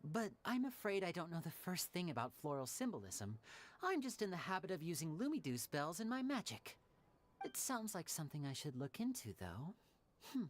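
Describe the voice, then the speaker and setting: A man voicing a charming, playful young stage magician in a game, smooth and theatrical. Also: fairly high pitch, sing-song, moderate pace, a little hiss or hum. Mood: suave. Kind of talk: game cutscene voice acting.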